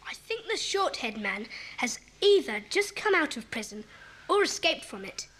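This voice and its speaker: Bossy eager schoolboy, high and lively, kids audio drama, bit of tape hiss. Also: female voice, normal speed, low-quality recording. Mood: keen, earnest. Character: Peter, bossy eager schoolboy leader of child sleuths. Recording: hissy old cassette recording.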